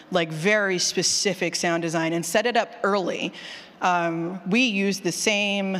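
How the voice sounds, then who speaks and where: A woman presents casually to a room in a reverberant hall. She is candid and animated, poking fun at herself and voicing her own thoughts as she goes. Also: on the low side. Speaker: presenter, fiction podcast writer. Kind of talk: casual conference talk with audience questions. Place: indoor session room with long reverb.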